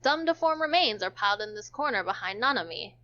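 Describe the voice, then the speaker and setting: A woman's voice: medium pitch, animated, fast talker, sharp tone, muffled recording. A woman hosting a visual novel stream, reading the narration aloud in an amateur, casual way.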